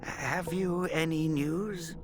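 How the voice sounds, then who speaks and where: An actor playing a curious, calculating scholar in a video game, pondering aloud with deliberate, theatrical expression. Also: male voice, fairly high pitch, steady tone. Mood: intrigued, pondering. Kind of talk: video game cutscene acting. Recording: music behind the voice.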